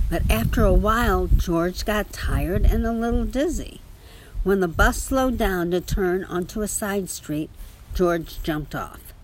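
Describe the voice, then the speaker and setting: A woman reading a children's picture book aloud in an expressive storytelling manner. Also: lowish voice, noisy background. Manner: expressive storytelling with small spoken asides. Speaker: story reader.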